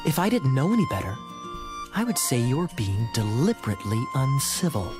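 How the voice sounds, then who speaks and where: A man performs a sly, teasing young nobleman in an anime game. His mid-pitched voice moves in wide, mocking swoops and his phrasing is wordy and smooth-tongued. Music plays underneath. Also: sing-song, fairly quick, lightly acted. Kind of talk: anime video game acting.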